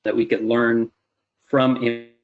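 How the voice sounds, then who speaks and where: A man's voice: mid-range voice, little pitch movement, moderate pace. A man in his thirties giving an explanatory webinar presentation in an informal way. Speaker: presenter, company CEO. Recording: dull lo-fi webinar audio.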